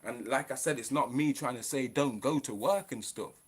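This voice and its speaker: A man's voice: mid-range voice, normal speed, husky. A man ranting on a live stream, in a profane, preachy, fired-up way.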